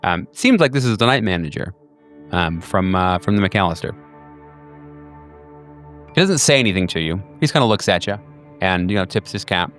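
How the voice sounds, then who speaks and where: A man's voice: low voice, lively intonation, moderate pace, long pauses, fairly bright tone, soft background music. A man narrating as game master of a tabletop horror game, rambling and full of ums and uhs. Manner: rambling, filler-heavy, with many ums and uhs.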